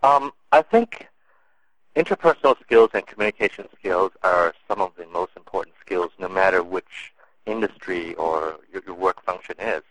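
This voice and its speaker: A man with a very low voice gives relaxed, thoughtful answers in an interview, often pausing and restarting. The recording is dry, with no room sound. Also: dry recording, rough recording. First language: English and Cantonese. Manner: thoughtful, many fillers and restarts. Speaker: interview guest.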